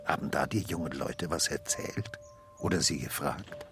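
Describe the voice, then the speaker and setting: Insistent, condescending police inspector. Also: male voice, rumbling low, normal speed, music in the background. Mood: probing, condescending. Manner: probing, insistent, swinging between quiet and loud. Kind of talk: radio drama acting.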